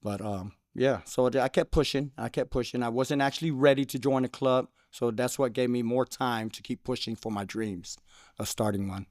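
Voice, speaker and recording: A man's voice: medium pitch, normal speed, no echo. Earnest man, dry close sound. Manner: earnest, repeats phrases, says "you know what I mean". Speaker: car club founder, interview guest. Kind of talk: casual interview.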